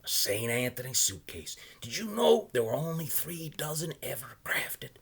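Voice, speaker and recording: Man voicing a whimsical old salesman, pitched high. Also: big pitch swings, creaky, breathy edge, full character voice. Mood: cautioning. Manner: musing, folksy, halting asides. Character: odd, genial old salesman in a gray suit, whimsical.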